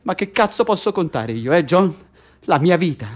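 A man's voice: sits high, animated, normal speed. An actor playing a bitter, despairing political prisoner in intense naturalistic radio theatre, insistent and repetitive as he addresses his cellmate. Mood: angry, desperate.

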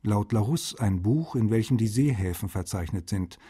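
An actor playing a courteous, meticulous male translator, reading formal letters aloud in a precise, polite, slightly husky voice for a radio feature. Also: fairly low pitch, expressive, moderate pace, mellow.

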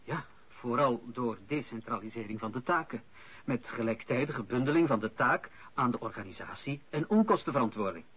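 An actor playing a deferential, ambitious office employee in a radio drama, formal and eager to please. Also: male voice, medium pitch, animated, normal speed, fairly bright tone, husky. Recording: dull lo-fi radio play recording.

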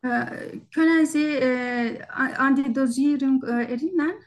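A woman's voice: high-pitched, steady tone, measured pace. A non-native German-speaking woman with a foreign accent, hesitantly and politely conducting a formal medical interview as a doctor, often searching for words. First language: not German. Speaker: doctor taking a patient history in exam practice. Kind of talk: halting structured medical interview in role-play.

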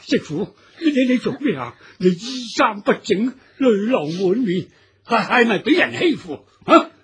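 A man playing an anguished old father-in-law in a Cantonese radio folk drama, halting, wailing and melodramatic. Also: high voice, sing-song, fairly bright tone, slightly rough, full character voice. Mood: worried, concerned. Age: older.

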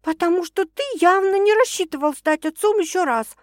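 A female narrator acts a feisty young woman from a romance audiobook. The voice is raised high and plaintive, quick to exclaim. The recording is dry. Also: no echo. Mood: indignant. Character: feisty, emotional young pregnant woman in love.

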